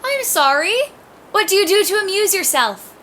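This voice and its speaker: A woman plays a bold, chatty teenage girl of a period audio drama. Her voice is high and swings freely up and down as she speaks, eager and frank. A light noise sits in the recording. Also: expressive, moderate pace, fairly bright tone. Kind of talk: audio play acting.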